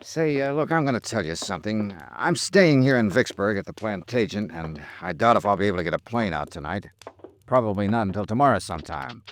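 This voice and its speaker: Radio detective hero male actor: American, low and animated, colloquial, wry but considerate, vintage drama delivery. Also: fairly quick, close to an everyday voice. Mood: kindly concerned. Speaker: lead actor and narrator. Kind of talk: old-time radio detective acting. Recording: old radio drama with music.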